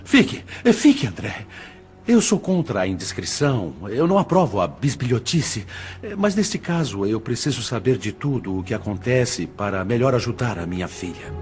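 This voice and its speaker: A man's voice: on the low side, very animated, normal speed, a little mellow. An actor playing a troubled, indignant father in an audio drama, speaking earnestly and with agitation. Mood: urgent, indignant.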